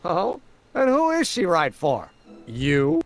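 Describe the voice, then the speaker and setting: A man's voice: high-pitched, huge pitch swings, takes it slowly, exaggerated voice. An actor playing an eager, earnest young male inventor who explains things in wordy, old-fashioned terms in a video game. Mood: teasing. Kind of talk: animated video game dialogue.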